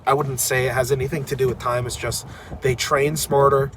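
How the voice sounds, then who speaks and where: A man's voice: mid-range voice, flat delivery, a little fast, fairly bright tone, a little hiss or hum. A young man with a stuffy cold, rambling casually through viewer comments on a live stream. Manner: rambling, filler-heavy, candid. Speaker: arm wrestler streaming a live recap.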